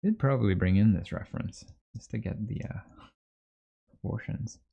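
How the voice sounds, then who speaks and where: A man's voice: lowish voice, moderate pace, vocal fry, warm tone, husky. A man in his thirties from Trinidad and Tobago, chatting casually and easily on a livestream while he works, in slightly muffled audio. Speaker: livestream host, 3D artist. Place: small indoor home workspace.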